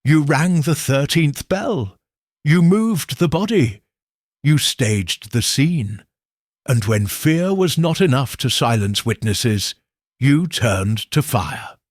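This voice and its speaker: A man voicing a cool, exacting middle-aged detective in an audiobook, speaking in a measured, coldly certain way with a husky voice. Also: mid-range voice, expressive, no echo, studio quality, voice pushed up. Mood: accusing. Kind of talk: audiobook character reading.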